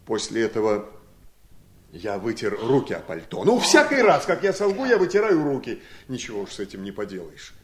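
An actor playing a simple, talkative, sly household servant telling things in an animated way in a radio play. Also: male voice, mid-range voice, fairly quick. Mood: sly, pleased. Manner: chatty, animated storytelling. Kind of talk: radio play acting in Russian.

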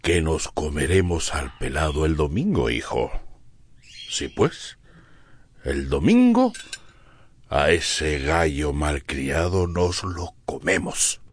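Stern dad in a radio drama, super deep, slow and measured, swings in pitch. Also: male voice, sing-song, a little hiss or hum, full character voice. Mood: grave, decided. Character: stern, deliberate father of a rural household.

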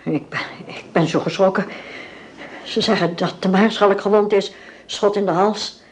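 An older, grand mother-in-law in a radio drama played by a woman. She speaks at a middling pitch, formal but agitated and breathless. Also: steady tone, moderate pace, a little mellow, a little hiss or hum, natural voice. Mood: shaken, awed. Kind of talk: Dutch radio play acting.

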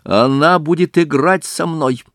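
A man's voice: on the high side, measured pace, no echo, studio quality, pitched above the performer's own voice. Man doing a headstrong spoiled little robber girl. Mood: demanding. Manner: blunt, headstrong, matter-of-fact. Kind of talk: audiobook fairy tale reading.

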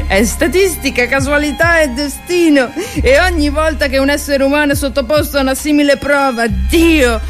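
A woman's voice: sits high, close to an everyday voice. An actress playing a devout, bitterly anguished woman at a war front in a dramatic, pleading stage monologue. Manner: dramatic, pleading, addressing God. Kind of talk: theatrical monologue with music.

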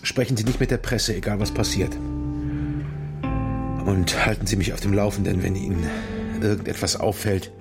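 Middle-aged man, played by an actor, low gravelly voice, weary politician, terse, sardonic, guarded, radio crime drama. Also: normal speed, over loud music, close to an everyday voice. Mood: businesslike. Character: seasoned, weary, guarded male politician under pressure.

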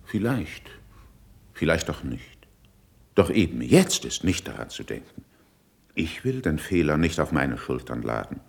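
An actor playing a proud older statesman in a classical radio verse drama, with measured, emphatic declamation. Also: extremely low pitch, sing-song, creaky, breathy edge, exaggerated voice. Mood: composed, conciliatory. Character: proud, worldly older male statesman, critical yet controlled.

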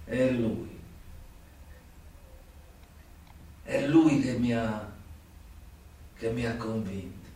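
Man acting slow, brooding old ex-mobster, echoey. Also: medium pitch, dark tone, natural voice. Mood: hesitant, confiding. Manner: halting, deliberate, theatrical delivery with long pauses. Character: old, sick former mobster turned informant, brooding. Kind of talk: radio play acting. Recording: very echoey, distant recording with music behind.

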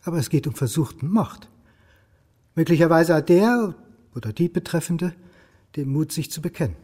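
A man playing a beleaguered, stubborn university historian in a radio crime drama, earnest and halting. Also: a little high, lively intonation, mellow, dry recording, clean studio sound. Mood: tense, earnest. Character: beleaguered male historian and university lecturer, indignant, doubting, stubborn. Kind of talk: German radio crime drama acting, dialogue and inner monologue.

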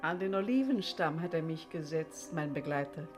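A woman's voice: sits low, expressive, moderate pace. An actress playing a haunted dead woman in a ghostly chorus, declaiming poetic fragments in a radio play. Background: music.

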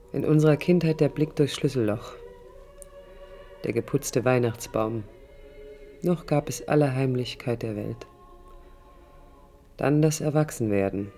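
A woman's voice: low-pitched, mellow, exaggerated voice. An actress playing a passionate, reflective young woman architect in a radio play, with a literary, introspective delivery. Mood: melancholy, disillusioned. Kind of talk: radio play inner monologue and dialogue. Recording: studio radio play with music.

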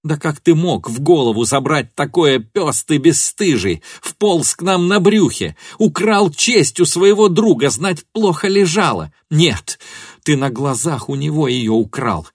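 A man acting a furious, high-pitched betrayed woman. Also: expressive, measured pace, breathy edge, no room sound, studio quality, exaggerated voice. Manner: shouting, bitter, venomous. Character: furious, betrayed young woman hurling scornful accusations.

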